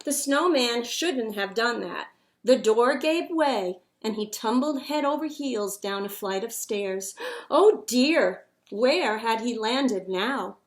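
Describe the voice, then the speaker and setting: A woman tells a children's story in a high voice, taking her time. She reads expressively and dramatically, savoring the words. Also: expressive, slow. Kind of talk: expressive picture-book read-aloud.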